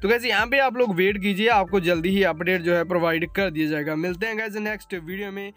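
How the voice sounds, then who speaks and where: A man's voice: high voice, fast talker, a bit bright. A man hosting a tech update voice-over, addressing viewers informally and mixing in English terms. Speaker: tech channel host. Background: background music.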